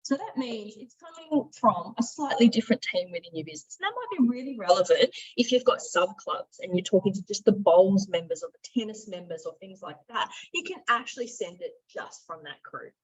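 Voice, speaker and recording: A woman trainer walking through software in an informal, instructive, conversational way, often thinking aloud. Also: mid-range voice, animated, fairly quick, few pauses, slightly rough. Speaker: software trainer, marketing and sales director. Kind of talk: informal software tutorial with screen demonstration. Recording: dull, muffled lo-fi audio.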